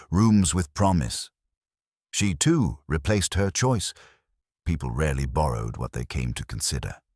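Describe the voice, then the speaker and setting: Very deep-voiced male literary narrator. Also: darkish tone, no room sound, studio quality. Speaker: audiobook narrator. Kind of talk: scripted literary narration of a detective story.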